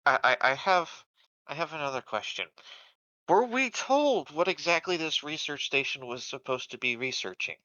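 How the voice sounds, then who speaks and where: A man's voice: a little high, lively intonation, normal speed, pushed and bright, no echo, dull audio. A man speaking casually as a player in a tabletop roleplaying game.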